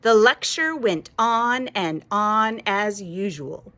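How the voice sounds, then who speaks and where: A woman reading a children's bedtime story aloud in an expressive storytelling style, with music behind her. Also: medium pitch, takes it slowly. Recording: music under the voice.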